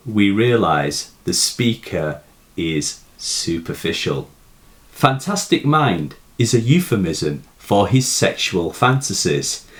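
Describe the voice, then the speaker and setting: Male narrator for a literature lesson: lowish pitch, very unhurried, formal, lively intonation. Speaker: poetry teacher. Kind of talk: scripted explanatory narration of a poem analysis.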